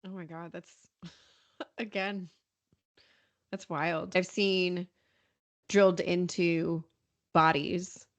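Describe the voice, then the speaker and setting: A woman hosting a podcast, chatting casually in an informal, expressive way. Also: fairly low pitch, extremely slow, pauses often, no room sound. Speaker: podcast host. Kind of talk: casual conversational chat.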